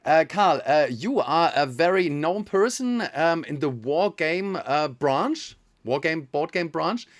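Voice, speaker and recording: A man's voice: a little high, lively intonation, slow pace. A man from Germany with a German accent, German native, hosting an interview in a friendly, hesitant way. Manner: friendly, hesitant, many fillers. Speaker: host. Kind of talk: casual interview.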